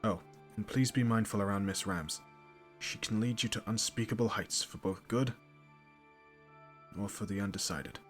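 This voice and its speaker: A male actor plays a stern but fatherly commander in a fantasy audio drama. His voice is low and his delivery formal and authoritative, with music clearly playing beneath it. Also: normal speed, pauses often, lightly acted. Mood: stern, measured. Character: stern fatherly military commander briefing a young squire. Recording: music under the voice.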